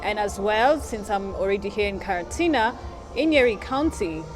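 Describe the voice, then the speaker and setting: A woman from Nairobi, answering interview questions in an articulate, measured and earnest way. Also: medium pitch, expressive, music in the background. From Kenya, Nairobi.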